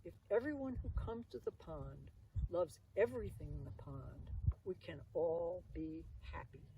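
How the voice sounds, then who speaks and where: A woman voicing a small, excitable young female turtle in an earnest storybook reading. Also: fairly low pitch, unhurried, dull audio. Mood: hopeful. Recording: distant mic, faint outdoor noise.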